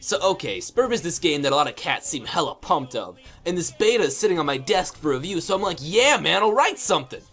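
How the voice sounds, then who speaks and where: A swaggering slacker bro acted by a man reviews games in a comic fan-dub monologue. He rambles in thick bro slang, boastful and none too bright, with a pitch that swoops about freely. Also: very animated, fairly quick, few pauses, soft background music.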